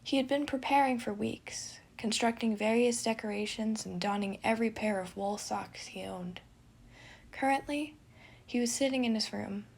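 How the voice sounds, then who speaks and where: A woman reading a fictional story aloud as narrator, in an expressive scripted style. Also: medium pitch, creaky, husky.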